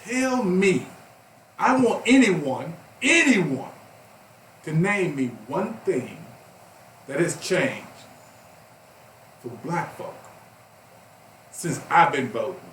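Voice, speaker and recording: A man's voice: a little high, very animated, slow pace, long pauses, a bit bright. Man, 50s, emphatic talk radio host, roomy distant sound, faint noise. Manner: passionate, emphatic, rising to outbursts. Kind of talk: heated talk radio discussion.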